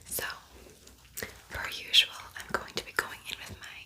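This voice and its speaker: A woman whispering softly and breathily as an ASMR host, lingering on and repeating her words. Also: normal speed. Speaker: ASMR host doing a makeup tutorial.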